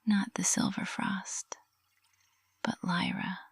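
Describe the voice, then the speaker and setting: A woman performs as a lovestruck witch teacher for an ASMR roleplay. She speaks in a slow, very breathy, unvoiced whisper, tender and possessive, right up on a dry, close microphone. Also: medium pitch, wildly swooping pitch, dry recording, heavily acted. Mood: adoring, lulling. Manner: slow, hushed, intimate, adoring.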